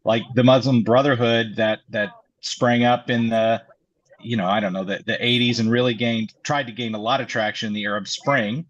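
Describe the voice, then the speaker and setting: A man's voice: medium pitch, steady tone, moderate pace. A man co-hosting a political talk show, arguing his point with many restarts and fillers. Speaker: co-host. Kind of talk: political discussion. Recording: dull lo-fi sound.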